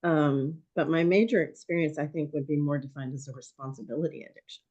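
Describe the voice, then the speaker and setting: A woman in her sixties, a guest in a conversation, speaking thoughtfully and hesitantly with many pauses while her voice is going hoarse. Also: low voice, darkish tone, lo-fi sound. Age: 60s. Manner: thoughtful, hesitant with frequent ums. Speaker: podcast guest. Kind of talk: reflective conversation.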